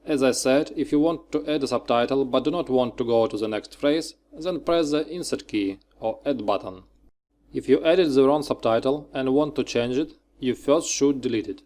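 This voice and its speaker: Man giving step-by-step software instructions. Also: medium pitch. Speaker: software tutorial narrator.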